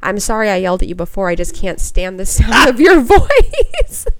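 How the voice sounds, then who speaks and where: A woman, a nurse guesting on a podcast, with a shrill voice, chatting and laughing at herself. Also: huge pitch swings, moderate pace, no room sound. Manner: laughs often, self-deprecating. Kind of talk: casual podcast chat.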